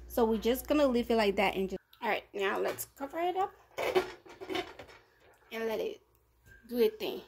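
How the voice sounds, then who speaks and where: A woman's voice: a little high, lively intonation, normal speed, long pauses, heavy background noise. A woman chatting casually to her viewers while she cooks in her home kitchen, upbeat and talkative. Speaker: cooking vlogger. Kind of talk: casual cooking chat.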